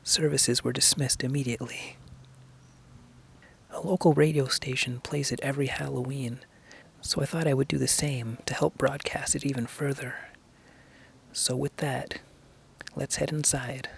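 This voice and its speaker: A man with a husky, gravelly voice hosting and introducing an old-time radio show, partly reading from text. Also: mid-range voice, fairly even intonation, normal speed, pauses often. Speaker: channel host.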